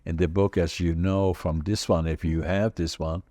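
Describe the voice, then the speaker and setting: A man in his eighties with a Dutch accent, telling stories from his life in a halting, rambling way, full of fillers and restarts. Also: sits low, fairly even intonation, mellow, no echo, clean studio sound. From Northern Netherlands. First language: Dutch regional dialect. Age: 80s. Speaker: podcast host. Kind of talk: casual conversational storytelling.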